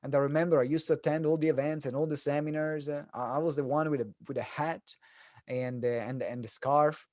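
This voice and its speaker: A man's voice: on the high side, fairly even intonation, fairly quick, darkish tone, dry recording, clean studio sound. A man from Italy with an Italian accent gives an informal, joking talk to an online audience, telling stories as a business coach. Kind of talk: animated presentation to an online audience. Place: online event.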